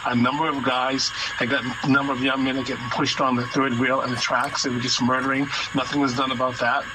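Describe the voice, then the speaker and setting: A man in his sixties from Massachusetts speaks as a radio guest. He tells long personal stories, halting and repeating his words as he goes. His voice sits at an ordinary pitch, and clear background noise runs under the broadcast. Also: level tone. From Massachusetts, USA. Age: 60s. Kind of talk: casual radio interview storytelling.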